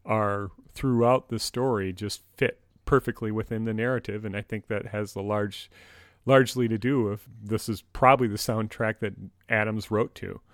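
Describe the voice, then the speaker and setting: A man's voice: lowish voice, fairly even intonation, moderate pace, no echo, clean studio sound. A man in his fifties co-hosting a podcast, giving fan commentary in casual conversation. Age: 50s. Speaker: podcast co-host.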